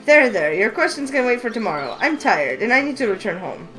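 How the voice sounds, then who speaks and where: A woman's voice: fairly high pitch, brisk, low-quality recording. A woman voicing a wise, teasing older witch who runs a shop, in a game read-through over faint music. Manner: teasing, knowing and calm. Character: wise sly older female witch shopkeeper. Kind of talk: voice acting a visual novel.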